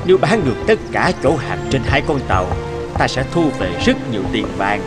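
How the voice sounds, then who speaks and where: Man as a blustering middle-aged merchant, anxious, greedy, very lively pitch, cartoon, backing music. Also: brisk, natural voice. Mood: greedy, pleased. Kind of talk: cartoon voice acting.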